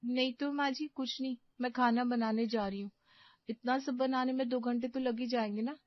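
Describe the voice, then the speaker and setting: A woman's voice: a little high, fairly even intonation, moderate pace, dry recording, muffled recording, natural voice. A woman narrator voicing a meek, put-upon young daughter-in-law in a cartoon, deferential and hesitant. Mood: dutiful. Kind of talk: animated moral-story cartoon acting.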